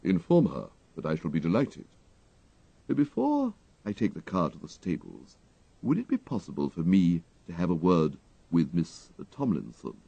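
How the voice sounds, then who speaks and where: A man voicing a deferential, unflappable English valet in a storybook, speaking in formal, ornate, measured phrases. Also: sits low, huge pitch swings, creaky, warm tone, breathy edge, no room sound, lo-fi sound, full character voice. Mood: courteous. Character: dignified English gentleman's valet, deferential, unflappable, dryly clever.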